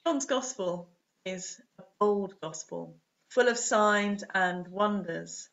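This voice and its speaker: A woman in her forties reading a scripted sermon as a priest, in measured, deliberate short phrases, recorded on a webcam at home. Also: medium pitch, creaky, a bit bright. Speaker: preacher, Anglican priest. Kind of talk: scripted sermon read to camera. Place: small home room, indoors. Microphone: webcam or laptop at desk distance. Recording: dull, muffled webcam audio.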